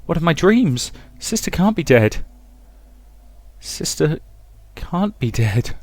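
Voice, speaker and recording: A man's voice: fairly high pitch, very animated, slow, a little mellow, dry recording, pitched above the performer's own voice, heavily acted. A man voicing a frightened, guilt-stricken young woman in a visual novel, pleading and stammering in anguish. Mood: anxious, hurt. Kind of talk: dramatic reading of visual novel dialogue.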